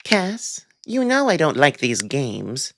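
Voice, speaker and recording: High, skittish young male cat voiced by a man. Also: wildly swooping pitch, takes it slowly, vocal fry, a bit bright, heavily acted. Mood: teasing, knowing. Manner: stammering.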